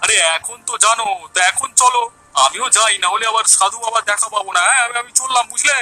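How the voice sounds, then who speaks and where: Man voicing an eager villager, high and sharp. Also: fairly even intonation, fast, soft background music, exaggerated voice, poor audio. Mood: eager, informative. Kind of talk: Bengali animated moral story acting.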